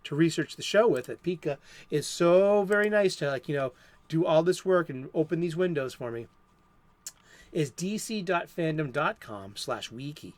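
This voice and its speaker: Brief: male host with a Boston accent, a touch high, rambling and chatty, dry close sound. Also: expressive, moderate pace, no room sound. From Boston area, USA. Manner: rambling, many restarts and fillers. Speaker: comic book show host. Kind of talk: casual solo talk. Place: home studio.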